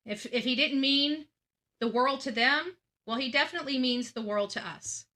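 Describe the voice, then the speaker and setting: A woman's voice: high voice, normal speed, sharp tone. A woman hosting a true crime channel, speaking in an earnest monologue to her audience and repeating phrases emphatically for stress.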